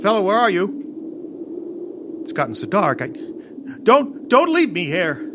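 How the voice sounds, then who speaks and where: A man's voice: sits high, huge pitch swings, takes it slowly, noisy background, exaggerated voice. An actor playing a panicky, imperious rich man in old-time radio horror style, stammering and pleading. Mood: frightened, groping. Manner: stammering, pleading, theatrical gasps. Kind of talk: old-time radio horror acting before a live audience. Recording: long reverb of a live hall.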